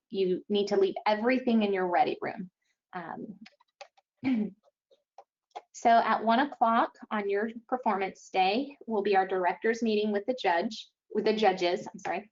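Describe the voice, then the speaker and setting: A woman's voice: medium pitch, darkish tone. A woman presenting event rules in an informational webinar briefing, speaking somewhat hesitantly and correcting herself as she goes. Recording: dull, lo-fi webinar audio.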